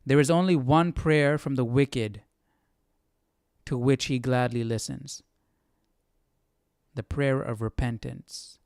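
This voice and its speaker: A man with a smooth, clear, mid-pitched voice talks through a study passage informally. He is reflective and a little hesitant as he reasons aloud, recorded close and dry. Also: takes it slowly, pauses often, a little mellow, dry recording. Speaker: live Bible study host.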